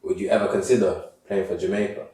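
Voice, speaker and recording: Man, host, very low, warm tone, relaxed, roomy far-off sound. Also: quick pace. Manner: relaxed, constant "yeah, yeah" backchannel. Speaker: podcast host. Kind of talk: casual interview. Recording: roomy sound from a distant mic.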